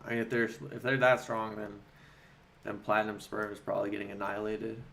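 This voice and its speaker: A man hosting a casual livestream, talking informally and haltingly as he thinks aloud and answers chat. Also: lowish voice, little pitch movement, creaky, a bit bright, a little hiss or hum.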